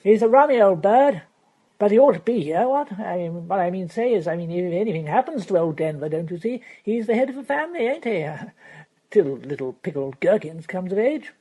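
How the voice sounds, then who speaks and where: A woman voicing a silly young upper-class gentleman who chatters and tags his remarks with "what?" and "I say". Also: mid-range voice, expressive, brisk, husky, voice pushed up. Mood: rambling.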